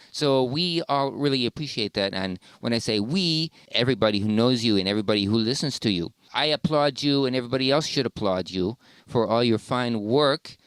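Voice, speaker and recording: A man's voice: mid-range voice, clean tone, dry recording. A man hosting a casual podcast interview, talking in an effusive, opinionated way. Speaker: podcast host.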